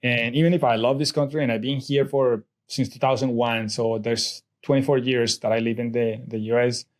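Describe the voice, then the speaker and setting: A man's voice: mid-range voice, steady tone, moderate pace. A middle-aged man from Colombia with a Colombian Spanish accent, a native Spanish speaker talking as an interview guest in a rambling way with many restarts and fillers. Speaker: guest, real estate investor. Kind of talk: casual interview chat.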